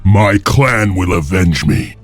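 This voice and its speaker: A man playing a noble, defiant clan warrior leading a slave revolt in a video game, gravelly and resolute. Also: deep voice, lively intonation, slow pace, soft background music, heavily acted. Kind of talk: video game hero acting.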